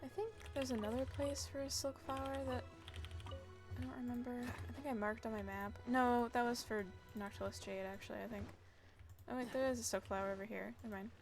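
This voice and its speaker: A woman's voice: on the high side, steady tone, a little fast, faint music behind. A woman streaming a video game, casually rambling and thinking aloud as she plays. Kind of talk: casual chatty gameplay commentary.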